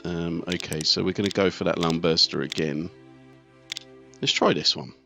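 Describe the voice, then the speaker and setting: Low-voiced man commentating a strategy game. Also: fairly even intonation, moderate pace, gravelly, pushed and bright. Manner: thinking aloud, talking through tactics. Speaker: let's play host. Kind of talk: live game commentary, casual. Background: video game music, artillery fire and vehicles.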